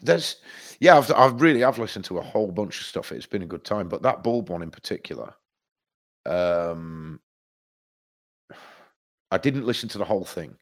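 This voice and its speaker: Deep voiced guy w a stuffy nose from hay fever, rambly, swears a bit. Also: lively intonation, moderate pace, long pauses. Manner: rambling, restarts a lot, swears casually. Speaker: podcast co-host. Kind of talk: casual music chat.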